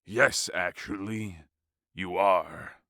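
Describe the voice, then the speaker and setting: Man as a slow, gravelly, arrogant warlord king. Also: deep voice, pushed and bright, dry recording, heavily acted. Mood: smug, contemptuous. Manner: slow, disdainful. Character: arrogant, cruel warlord king, cold and contemptuous. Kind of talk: audio play acting.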